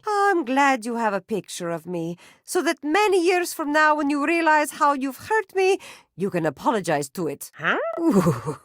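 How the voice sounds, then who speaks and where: A woman's voice: on the high side, a bit bright, dry recording, studio quality. An actress playing a melodramatic middle-aged female circus matriarch, grandiose, scolding and guilt-tripping. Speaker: video game character. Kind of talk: video game voice acting.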